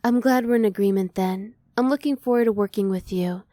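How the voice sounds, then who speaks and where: A woman voicing a curious, emotionally reserved alien scientist in an ASMR roleplay, speaking softly in measured, formal phrases. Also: medium pitch, no echo, natural voice. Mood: relieved, grateful. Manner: soft, measured, formal, restrained. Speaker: voice actor.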